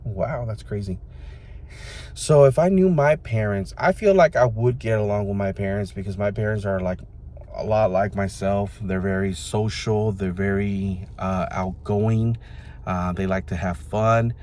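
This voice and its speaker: A man's voice: on the low side, unhurried, a little mellow. A man in his mid-thirties talking to his viewers in a loose, rambling, reflective way, full of fillers. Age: 30s. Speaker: vlogger. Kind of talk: casual reflective monologue to the camera.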